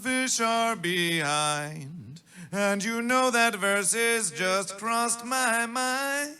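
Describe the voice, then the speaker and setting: A man working as a festival master of ceremonies, making bombastic, mock-ceremonial announcements in a gravelly voice and chanting repeated phrases. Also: very high-pitched, expressive, drawn-out words, bright, forceful tone. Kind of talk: theatrical chanted stage announcements. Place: live music festival stage. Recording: music behind the voice. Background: music.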